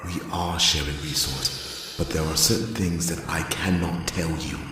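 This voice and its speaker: A man playing an old, courteous but guarded elven envoy in a fantasy audio roleplay, speaking formally and with measured deference. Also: extremely low pitch, fairly even intonation. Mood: firm, guarded. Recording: echoey long reverb with noisy ambience.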